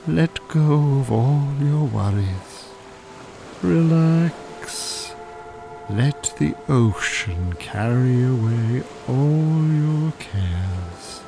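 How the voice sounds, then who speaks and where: Radio drama: Irish male hypnotist swindler, slow, lively intonation, courteous and oily, music behind. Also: mid-range voice, gravelly, exaggerated voice. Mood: soothing, hypnotic. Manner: courteous, unctuous, soothing, professional. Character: smooth, calculating male con-artist hypnotist posing as scientist.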